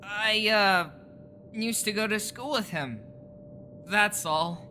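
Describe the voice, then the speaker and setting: Man playing a high-voiced, anxious teenage creature boy. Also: takes it slowly, sharp tone, a little hiss or hum, exaggerated voice. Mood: nervous. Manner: hesitant, evasive, nervous. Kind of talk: audio drama acting.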